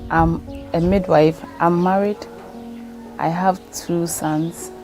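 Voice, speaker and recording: A woman in her thirties, a midwife, calmly introducing herself in a documentary testimony. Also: fairly low pitch, slow. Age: 30s. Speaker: interviewee, midwife. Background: music.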